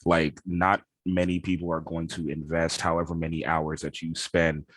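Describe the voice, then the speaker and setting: Male podcast co-host, deep-pitched, dry studio-like sound, casual and conversational. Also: flat delivery, normal speed, a bit bright, no echo. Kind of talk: casual discussion about gaming.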